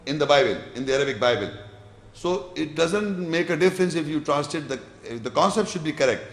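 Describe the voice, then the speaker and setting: A man's voice: fairly high pitch, lively intonation, a little fast. A man lecturing and answering audience questions, explaining patiently and repeating words as he builds his sentences. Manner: recites scripture verses in Arabic. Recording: echoey lecture hall.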